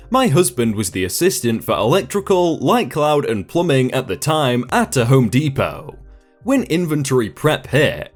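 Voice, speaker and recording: Guy reading reddit stories out loud, bouncy lively delivery, quiet music in the back. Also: medium pitch. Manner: lively storytelling reading with short comments between stories. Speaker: narrator reading reddit posts. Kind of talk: scripted story narration.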